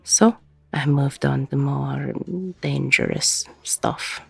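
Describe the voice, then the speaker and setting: A woman playing a weary, protective middle-aged ex-con bar owner in an intimate audio roleplay, with a husky, gravelly voice. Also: rumbling low, completely flat delivery, slow, darkish tone, no echo, full character voice. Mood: regretful, confiding.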